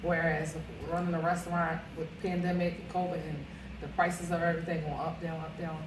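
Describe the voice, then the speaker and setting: Woman guest, caterer, rambling casual talk; lowish voice, roomy, noisy, off-mic recording. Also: flat delivery, brisk, recorded from a distance. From Philadelphia. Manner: chatty, rambling, with many fillers. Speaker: caterer and realtor, interview guest. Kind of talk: casual interview conversation. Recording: echoey room, off-mic, noisy.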